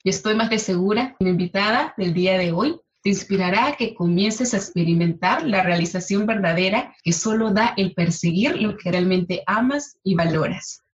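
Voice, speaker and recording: Woman podcast host, effusive and emphatic, mid pitch, slightly dull sound. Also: moderate pace, few pauses. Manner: warm, effusive, emphatic praise. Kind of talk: podcast interview, scripted intro.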